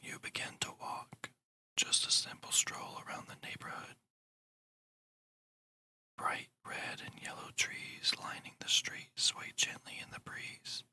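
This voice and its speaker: A narrator speaking entirely in a breathy whisper, guiding a meditation in a slow, soothing, deliberate way in ASMR style. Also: bright, forceful tone.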